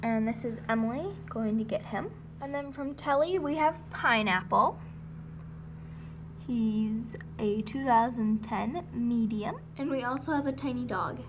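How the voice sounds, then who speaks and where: High-voiced woman giving a toy barn tour. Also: a little slow, noisy background. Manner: lists names in a steady run of "and then". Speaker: model horse hobby vlogger. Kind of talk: casual guided tour narration. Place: indoors in a home room beside a toy horse barn.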